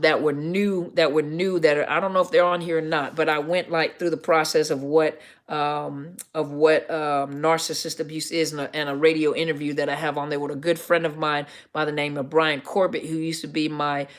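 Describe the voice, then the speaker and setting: Deep gravelly-voiced woman talking live. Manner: rambling, self-correcting, many ums. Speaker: counselor hosting a live Q&A. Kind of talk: casual live-stream talk to viewers.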